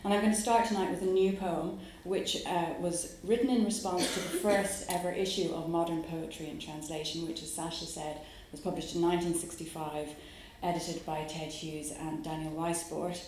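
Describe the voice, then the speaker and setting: A woman's voice: lowish voice, steady tone, normal speed, few pauses, darkish tone, a little hiss or hum. Irish woman poet in a very echoey room. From Ireland. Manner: musing, with hesitant fillers between phrases. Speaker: poet giving a reading. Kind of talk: poetry reading with spoken introduction. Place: live reading venue.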